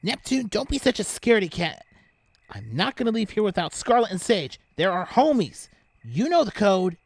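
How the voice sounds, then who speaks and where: A woman playing an excitable, determined teenager in an audio drama. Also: mid-range voice, moderate pace, no room sound. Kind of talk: fan audio drama acting.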